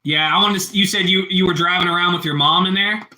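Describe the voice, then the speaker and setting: A man's voice: high voice, fairly even intonation, quick pace, pushed and bright, low-quality recording. A man with a Pittsburgh accent chatting casually with live-stream viewers, rambling and repeating himself as he answers the chat.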